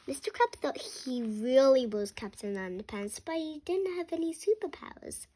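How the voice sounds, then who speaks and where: High-pitched, animated young child reading aloud. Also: normal speed. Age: 7 years old. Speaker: young reader.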